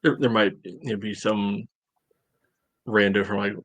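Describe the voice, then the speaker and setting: A man co-hosting a sports podcast, giving casual analysis in a halting, thinking-aloud way peppered with "you know". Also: fairly low pitch, steady tone, fairly bright tone, dry recording.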